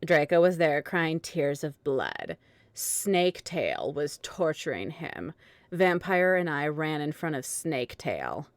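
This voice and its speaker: A low-voiced woman hosts and narrates a comic fan fiction reading. She takes her time, recorded very dry and close, and slips into casual asides. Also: very animated, slow, dry recording. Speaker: narrator and host.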